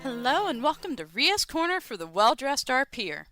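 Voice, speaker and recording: A woman with a bright, clean voice and very animated intonation hosts an informal advice segment. She speaks playfully and straight to the listener, following a script. The recording is dry. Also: high-pitched, normal speed, no echo, faint music behind. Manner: playful, addressing the listener directly. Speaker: podcast segment host.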